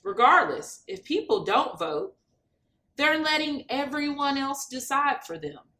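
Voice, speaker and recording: A woman reading a picture book aloud to children, expressively, pausing to explain what the pictures show. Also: high-pitched, big pitch swings, pushed and bright. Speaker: guest storybook reader. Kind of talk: children's read-aloud narration.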